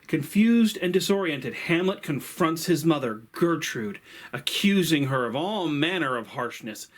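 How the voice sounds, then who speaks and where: A man teaching and storytelling from a script, with a theatrical, emphatic delivery and dramatic pauses. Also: a little high, expressive. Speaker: teacher and host of a story series. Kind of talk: scripted literary lesson and storytelling.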